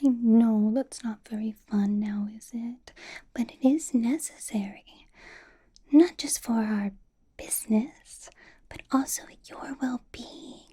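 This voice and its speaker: Girl doing a vampire boss lady asmr roleplay, super breathy whisper, slow n sweetly menacing, possessive and controlling. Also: fairly high pitch, warm tone, exaggerated voice. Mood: sweetly doting. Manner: slow, coaxing, condescending endearments.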